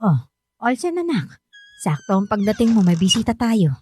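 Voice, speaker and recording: A woman's voice: medium pitch, very animated, normal speed, darkish tone, slightly rough, faint music behind. An actress playing a middle-aged mother in a radio drama, firm, coaxing and insistent. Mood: welcoming.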